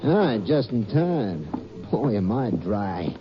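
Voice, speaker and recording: A cocky small-time gangster. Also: male voice, fairly low pitch, very animated, slow pace. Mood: swaggering. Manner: slangy, cocky, threatening. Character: tough small-time male gangster on the run. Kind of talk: 1950s radio acting. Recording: lo-fi old radio broadcast with music.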